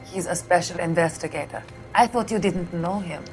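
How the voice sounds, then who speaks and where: An actress playing a troubled, guarded young female patient in a dramatic video game, speaking softly and with measured delivery. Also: lowish voice, close to an everyday voice. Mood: suspicious, accusing. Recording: background music behind the voice.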